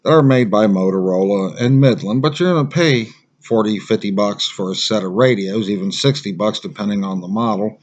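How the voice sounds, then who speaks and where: Man, low voice, casual gear review, plain-spoken and halting, dull lo-fi recording. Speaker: product reviewer. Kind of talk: casual product review to camera.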